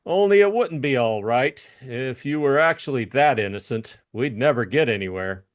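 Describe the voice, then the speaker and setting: A man's voice: medium pitch, expressive, normal speed, natural voice. A man playing a shrewd, cool private detective in a dramatized audiobook, blunt and knowing. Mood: casual, knowing.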